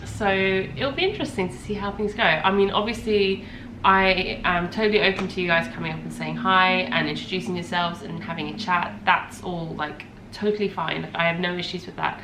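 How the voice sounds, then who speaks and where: A woman's voice: fairly low pitch, steady tone, brisk, few pauses. A woman in her thirties hosting a podcast, chatting casually and rambling with lots of ums, a little congested from a cold. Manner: rambling, chatty, with many ums and self-corrections. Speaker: podcast host. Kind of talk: casual chat. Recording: echoey room with faint ambient noise.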